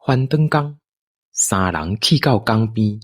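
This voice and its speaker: Slow, husky Taiwanese male storyteller. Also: fairly low pitch, animated, no echo, studio quality. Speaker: narrator. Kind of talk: scripted storytelling narration in radio drama.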